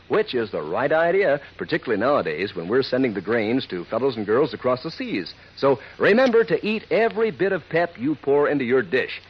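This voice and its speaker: A man's voice: mid-range voice, lo-fi sound, slight background noise. A man with an American accent reading a folksy, hearty 1940s radio advertisement in cowboy slang, on an old recording. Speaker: radio pitchman.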